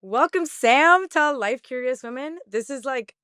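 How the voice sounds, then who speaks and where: A woman's voice: high voice, lively intonation, measured pace, a bit bright, clear, resonant tone, no room sound, studio quality. A woman hosting a podcast, talking in a bubbly, upbeat way with lots of "like". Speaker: podcast host. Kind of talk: casual interview chat.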